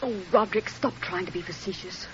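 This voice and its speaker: An actress playing an emotional, indignant, pleading society wife in a radio drama. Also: female voice, medium pitch, a little fast, a bit bright, lightly acted. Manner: melodramatic, emotional. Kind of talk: old radio drama acting. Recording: muffled old radio recording, faint noise.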